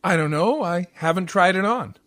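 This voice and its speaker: A man's voice: sits high, expressive, moderate pace, fairly bright tone. A man playing a grumpy, sarcastic, impatient husband in a 1940s-style radio comedy. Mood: dry, impatient.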